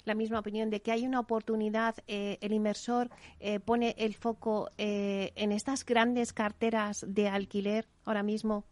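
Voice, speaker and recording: A woman's voice: mid-range voice, level tone, moderate pace, darkish tone, dry recording. A woman moderating a business round table, putting questions to the speakers. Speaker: panel moderator.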